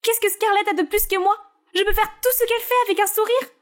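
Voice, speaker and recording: A woman's voice: very high-pitched, steady tone, fast talker, voice pushed up. A woman voicing a bubbly, spoiled younger sister who talks in an eager, chirpy way. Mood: pleading.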